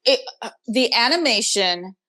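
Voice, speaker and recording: A woman's voice: fairly high pitch, expressive, unhurried, pushed and bright. A woman co-hosting a casual review chat, reacting expressively. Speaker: co-host of a drama review show.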